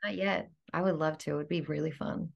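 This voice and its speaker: A woman from Greece, an actor, chatting in a casual podcast interview, upbeat and quick to laugh. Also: low voice, steady tone, vocal fry, a little mellow, highs cut off. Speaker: guest actor.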